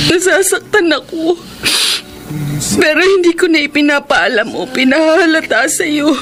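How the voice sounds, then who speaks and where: A woman with a Filipino accent playing a hurt, self-sacrificing partner in a radio melodrama. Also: extremely high pitch. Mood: tearful. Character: self-sacrificing, hurt woman Alice, gentle and long-suffering. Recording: radio drama with loud music bed.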